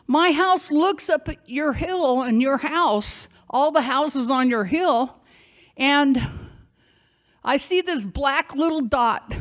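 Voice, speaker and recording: An older woman telling a personal story live on a theater stage, chatty and animated, calling out loudly when she acts out scenes. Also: high-pitched.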